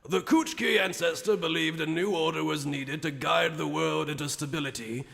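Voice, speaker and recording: A man voicing an ancient, grandiose sage in an anime fan dub, telling lore in a measured, portentous and theatrical way. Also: a little high, creaky, fairly bright tone. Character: ancient, grandiose male sage recounting the world's creation. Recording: long hall-like reverb.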